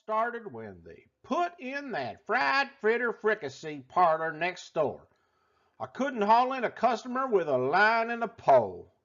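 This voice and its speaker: Guy doing a folksy country diner owner telling a storybook tall tale, slow drawl, super animated, kinda muffled lo-fi audio. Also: high voice, full character voice. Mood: folksy, excited. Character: folksy excitable yodeling spaghetti-restaurant owner spinning tall tales. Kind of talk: animated children's storybook read-aloud.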